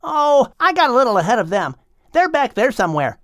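A man's voice: extremely high pitch, sing-song, a little fast, a bit bright, dry recording, clean studio sound, pitched above the performer's own voice, exaggerated voice. A man voicing a bouncy, cheeky young dolphin in an audiobook, with a breezy, chatty manner.

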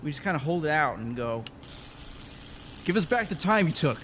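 An actor playing an eager, slightly hesitant inventor scientist in a comic sci-fi audio drama. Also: male voice, fairly high pitch, sing-song, moderate pace, fairly bright tone, slight background noise. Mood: sheepish.

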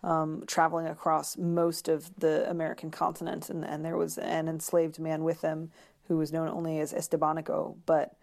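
Low-voiced woman with creaky fry, halting delivery. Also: completely flat delivery, darkish tone. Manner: hesitant, searching for words with many pauses and repeats. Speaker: interview guest, author. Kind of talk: conversational interview.

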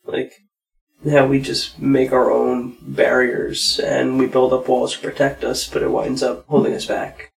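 A man co-hosting a podcast, talking in a relaxed, reflective and plain way. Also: medium pitch, measured pace, gravelly, low-quality recording. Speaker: podcast co-host. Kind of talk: casual conversation.

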